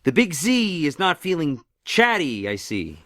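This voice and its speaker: A man's voice: a little high, huge pitch swings, measured pace, a bit bright, dry recording, full character voice. A man playing a wry, glib Greek messenger god for a game, with a sardonic, chatty, flippant manner. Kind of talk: theatrical game voice lines.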